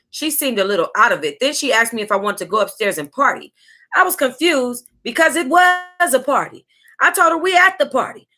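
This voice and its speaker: An American woman reading a memoir aloud as a book club host, with sassy, exclamatory asides and drawn-out emphasis. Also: on the high side, bright, forceful tone.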